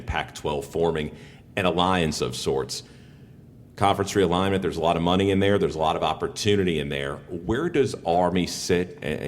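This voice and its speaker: A man working as an interviewer and sports broadcaster, posing questions in a conversational style. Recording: reverberant hall sound with rumbling noise.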